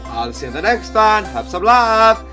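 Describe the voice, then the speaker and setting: A man with a fairly high voice reads a visual novel aloud. He sounds like a gaming video creator, moving between scripted narration and his own remarks. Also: sing-song, normal speed, sharp tone, faint music behind.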